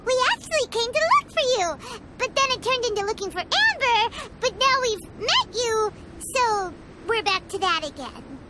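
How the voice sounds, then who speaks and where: A woman voicing a tiny, excitable, childlike fairy companion in a video game, squeaky, chatty and exclamatory. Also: normal speed, few pauses, noisy background. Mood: curious, chatty. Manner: exclamatory, refers to self in third person. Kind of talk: animated video game character acting. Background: background music.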